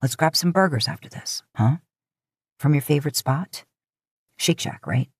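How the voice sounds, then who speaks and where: Casting: gruff, teasing young guy played by a woman, low-pitched, protective, close dry sound, audio fiction drama. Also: normal speed, no room sound. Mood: casual, considerate. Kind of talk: acted dialogue in audio fan fiction.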